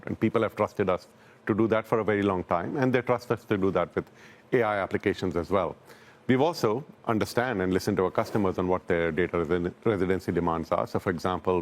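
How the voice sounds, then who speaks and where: A man speaking as a technology company executive in a live business news interview, explaining things calmly. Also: deep voice.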